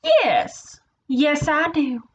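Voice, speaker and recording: Woman putting on an excitable high-pitched storybook pal. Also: normal speed, a little hiss or hum, full character voice. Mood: thrilled. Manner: excitable, shrieking, gushing. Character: very kind, excitable best-friend US state. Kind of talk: children's storybook character voice.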